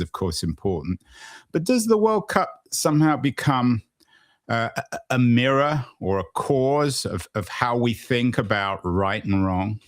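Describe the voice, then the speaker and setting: A man hosting an interview podcast, asking long, meandering questions with hesitations and fillers. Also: medium pitch. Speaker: podcast host.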